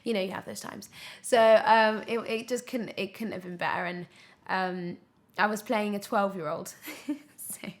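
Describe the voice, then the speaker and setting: A young British woman talks casually as an interview guest. Her voice sits at a medium pitch, and she speaks with emphasis, often interrupting herself mid-thought. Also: fairly even intonation, normal speed. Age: 20s. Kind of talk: casual podcast interview chat.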